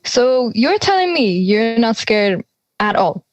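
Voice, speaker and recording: A woman's voice: a little high, big pitch swings, slow pace, no room sound, rough recording. A young woman playing an anxious, exasperated teenage schoolgirl in conversational audio drama dialogue. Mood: worried, disbelieving. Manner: exasperated, worried. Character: anxious schoolgirl dreading a class presentation.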